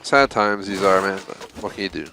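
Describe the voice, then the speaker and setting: Low-voiced man chatting on a game stream. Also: brisk, creaky, background noise. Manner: rambling, frequent fillers. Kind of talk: casual live-stream chat while gaming.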